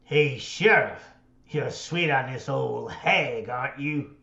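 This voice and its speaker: Actor as a echoey, slow-talking menacing outlaw. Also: male voice, mid-range voice, expressive, creaky, pushed and bright, heavily acted. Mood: taunting. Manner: slow, mocking cowboy talk. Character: menacing, taunting Old West outlaw, a father. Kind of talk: western radio play acting. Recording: echoey, dull muffled sound.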